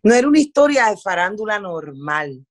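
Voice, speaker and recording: A middle-aged woman with a Puerto Rican accent and a medium-pitched voice. She recounts her working life as a journalist in a conversational way, repeating words for emphasis. English words slip into her Spanish. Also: sing-song, a little fast. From Puerto Rico. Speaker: interviewee, veteran television journalist. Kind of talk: conversational recollection in an interview.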